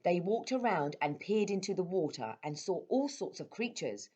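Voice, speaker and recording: A woman reading a children's story aloud as a storyteller, with expressive delivery. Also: fairly low pitch, normal speed, lo-fi sound. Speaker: storyteller at a primary school.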